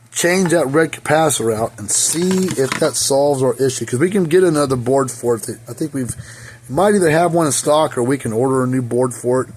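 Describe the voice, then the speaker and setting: Hoarse man talking through a repair. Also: mid-range voice, a little mellow, slight background noise. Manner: rambling, thinking aloud while working with his hands. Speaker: repair technician. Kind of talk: casual workshop repair talk. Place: repair workshop. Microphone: phone camera.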